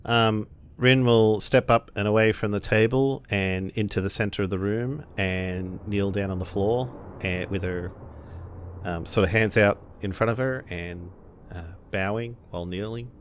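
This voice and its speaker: Slow, hesitant man playing a tabletop game. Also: fairly low pitch, a little hiss or hum. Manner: hesitant, searching for words. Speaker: player in a tabletop roleplaying game. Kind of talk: casual third-person narration of own characters.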